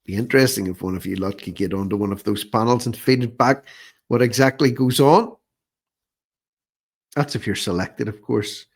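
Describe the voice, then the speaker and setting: A man's voice: medium pitch, normal speed, vocal fry. An Irish man hosting informal political commentary in a sarcastic, scornful manner, often repeating phrases for emphasis. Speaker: online commentator, host.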